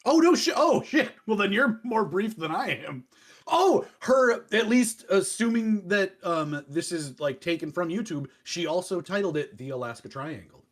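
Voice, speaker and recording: Lively, high-voiced man chatting casually. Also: moderate pace. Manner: jokey, self-deprecating, swearing. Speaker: podcast host. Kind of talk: casual chat.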